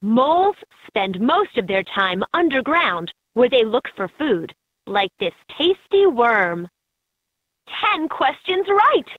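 A woman reading scripted educational narration for a children's game in an expressive, instructive way. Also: fairly high pitch, takes it slowly, dry recording. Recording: slightly dull, lo-fi game audio.

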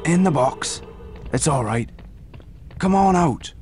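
A man playing a young, soft-hearted giant boy, protective and pleading, with rustic, ungrammatical speech and a gravelly voice. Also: fairly high pitch, expressive, slow pace, faint music behind. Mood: coaxing, gentle.

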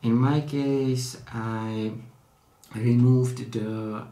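A man's voice: mid-range voice, steady tone, extremely slow, warm tone, roomy sound. A young man from the Czech Republic with a Czech accent, speaking careful non-native English as he shows off a hobby project at his desk at home. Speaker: hobbyist vlogger. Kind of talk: casual tech demo. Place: small room at home, indoors at a desk.

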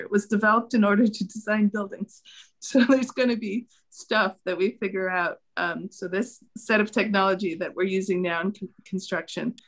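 Middle-aged woman, medium pitch, thoughtful explanatory delivery, panel discussion, video call. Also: fairly bright tone.

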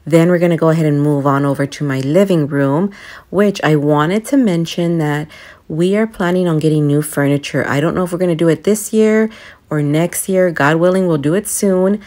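A young woman with a low-pitched voice narrates her home vlog. She speaks in a casual, conversational style and confides in the viewer like a friend. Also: normal speed, a little mellow. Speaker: home and cleaning vlogger.

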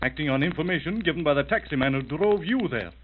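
An actor playing a brisk, businesslike investigator in an old radio play. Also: male voice, a little high, expressive, slight background noise, close to an everyday voice. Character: brisk, no-nonsense investigator, middle-aged man. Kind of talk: 1930s radio acting. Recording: tinny old radio transcription.

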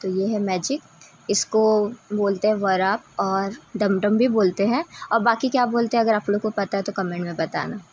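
A woman's voice: medium pitch, normal speed, breathy edge. A woman narrating a casual travel vlog in a chatty way, speaking directly to her viewers. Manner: chatty, addresses viewers as friends. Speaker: travel vlogger.

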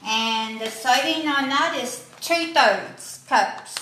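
A middle-aged woman giving a casual cooking demonstration in a small indoor room, speaking in a slow, plain, halting way, recorded on a handheld phone. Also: sits high, bright, forceful tone. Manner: slow, plain, halting delivery with many pauses. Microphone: handheld phone camera. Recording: echoey room, faint background noise.

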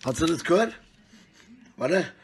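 A middle-aged man with a husky voice rambles casually and coarsely in slang, talking to his phone at arm's length indoors. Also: on the high side, lively intonation, a little slow, slight background noise. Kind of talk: rambling casual selfie monologue. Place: small indoor room at home.

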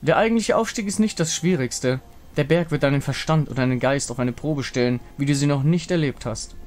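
A man voicing a solemn, fatalistic warrior woman of the moon in a game story, grave, formal and measured. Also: fairly high pitch, darkish tone, lightly acted. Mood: ominous. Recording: music behind the voice.

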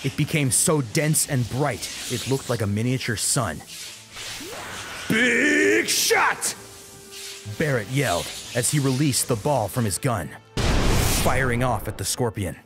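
A man's voice: mid-range voice, lively intonation, moderate pace. A man reading a dramatic action story as an audiobook narrator. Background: music under the voice.

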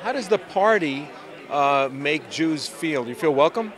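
A man interviewing an author at a convention, asking his questions in a halting way with many fillers. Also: fairly high pitch, expressive, a crowd in the background. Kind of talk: on-the-spot interview. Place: busy convention hall, indoors.

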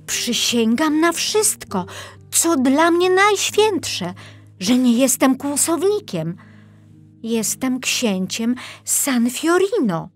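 A woman's voice: high-pitched, very animated, slow pace, faint music behind, pitched above the performer's own voice, heavily acted. A woman voicing a small, proud, earnest young prince in a children's storybook. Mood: pleading. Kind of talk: children's storybook character acting.

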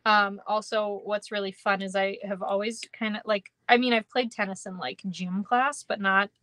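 Young woman, Minnesotan accent, chatty live craft instructor, mid pitch, dry close sound. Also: fairly even intonation, moderate pace, pushed and bright, dry recording. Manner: chatty, giggly, lots of ums and kindas. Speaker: card-making instructor. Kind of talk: live stream craft demo, chatting with viewers. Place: indoor craft room.

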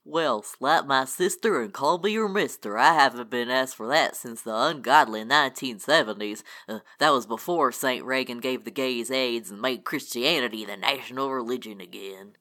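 Man playing a bright, hokey country bartender. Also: mid-range voice, normal speed, hardly any pauses, lightly acted. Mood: folksy. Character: folksy, ageless small-town male bartender. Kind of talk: parody radio play acting.

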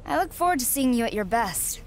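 A woman voicing a determined young female rookie wrestler in a video game, answering calmly and confidently with dry replies. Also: on the high side, brisk, a little hiss or hum. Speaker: player character.

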